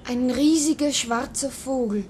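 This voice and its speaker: An apprehensive woman with a fairly high voice. She is a superstitious wife in a 1960s radio play. The old mono recording is faintly noisy. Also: unhurried, darkish tone. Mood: uneasy.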